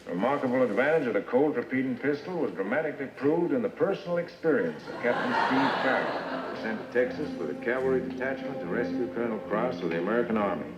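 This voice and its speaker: A man's voice: medium pitch, animated, quick pace, hardly any pauses, close to an everyday voice. An actor playing a skeptical, commanding sheriff in an old western, challenging and pointed in his delivery. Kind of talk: old western film acting. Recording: dull echoey old film sound, music behind.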